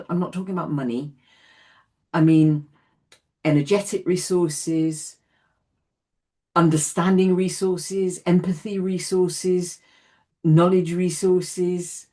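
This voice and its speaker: Low-pitched woman teacher on a video call, reassuring, lots of "yeah". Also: steady tone, unhurried, mellow. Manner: reassuring, repeats "yeah", self-deprecating laughs. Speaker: forgiveness class teacher. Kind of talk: online class Q&A.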